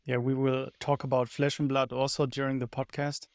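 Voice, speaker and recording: A man's voice: mid-range voice, level tone, normal speed, dry recording, studio quality. A man hosting a podcast, introducing his guest and asking friendly questions. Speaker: podcast host.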